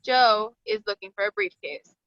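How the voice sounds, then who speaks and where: A woman's voice: high-pitched, sing-song, moderate pace, gravelly, fairly bright tone, no echo, dull audio. A woman reading screenplay action lines as scripted narration. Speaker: stage directions reader.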